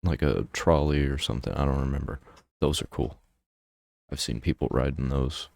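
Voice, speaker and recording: Very deep-voiced man musing casually. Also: steady tone, moderate pace, vocal fry, darkish tone. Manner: rambling, easygoing musing. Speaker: reaction video host. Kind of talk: casual commentary over a video.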